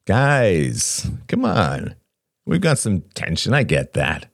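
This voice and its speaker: A male reader performs an awkward man who tries to calm his friends. He sounds uneasy and pleading, in a dry audiobook recording. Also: low voice, slow pace, gravelly, dry recording, voice pushed up, heavily acted. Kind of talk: dramatic audiobook character dialogue.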